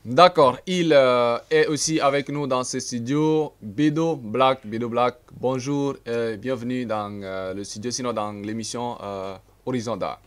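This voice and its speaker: A man hosting an arts show in a broadcast studio, talking with many hesitant filler pauses and little chuckles. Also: mid-range voice, steady tone. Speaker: radio and TV arts show host. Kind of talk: studio interview chat.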